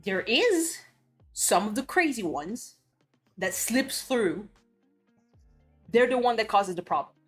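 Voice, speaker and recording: A woman's voice: a little high, very animated, slow, pauses often. Woman streaming, heated blunt rant, swears a lot, faint music behind. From Belgium. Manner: blunt, heated ranting with cursing and restarts. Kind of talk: casual chat.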